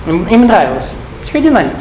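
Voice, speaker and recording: A man's voice: high-pitched, sing-song, fast talker, a little mellow. A man giving a spiritual lecture to a live audience, rambling, with joking asides and questions put to the listeners. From Russian-speaking, not Ukrainian. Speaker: spiritual teacher. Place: hall with an audience. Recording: echoey room with faint noise.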